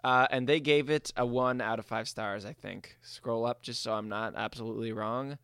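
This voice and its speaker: Bright-voiced man explaining emphatically, close and dry. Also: medium pitch, steady tone, normal speed, gravelly, clear, resonant tone, dry recording. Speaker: podcast co-host. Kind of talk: casual movie discussion.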